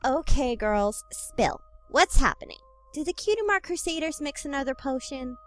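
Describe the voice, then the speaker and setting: A woman's voice: sits high, very animated, moderate pace, a bit bright. A woman voicing an earnest young female cartoon pony in an audio drama. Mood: puzzled. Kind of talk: animated fan fiction audio drama acting. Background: music.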